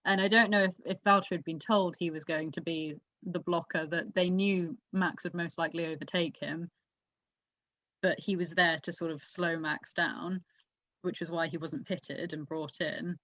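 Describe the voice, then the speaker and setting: Low-voiced woman, dull muffled audio. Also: fairly even intonation, normal speed, a bit bright, no echo. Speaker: podcast host. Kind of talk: casual motorsport race discussion.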